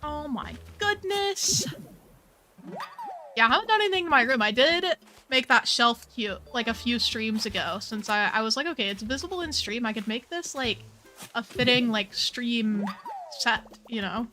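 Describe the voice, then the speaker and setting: Young female streamer, chatty and bubbly, slightly worn voice, soft game music under her. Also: fairly high pitch, expressive, normal speed. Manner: chatty, bubbly, says "like" a lot. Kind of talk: casual game stream chat.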